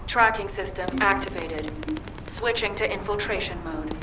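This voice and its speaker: A woman's voice: medium pitch, moderate pace, noisy background, natural voice. An actress playing a cool, terse female stealth operative in a video game, giving clipped, businesslike orders. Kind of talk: video game cutscene acting. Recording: distant voice under loud game music.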